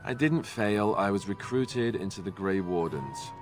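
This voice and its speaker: An actor playing a wry, self-deprecating young warrior in a video game, talking in an easygoing, joking way. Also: male voice, lowish voice, expressive, a little slow, over music. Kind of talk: video game cutscene dialogue.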